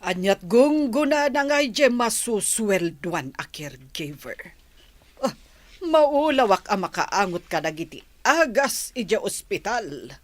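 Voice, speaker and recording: A woman's voice: mid-range voice, big pitch swings, slow, no room sound. A woman acting a disapproving, grudging older grandmother in a Filipino radio drama, with a complaining manner.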